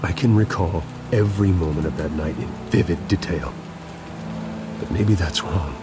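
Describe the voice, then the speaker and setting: An actor playing a brooding, wounded billionaire vigilante in a video game, with a terse, intense way of talking. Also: male voice, deep voice, moderate pace, over music, close to an everyday voice. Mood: subdued. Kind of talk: dramatic video game acting.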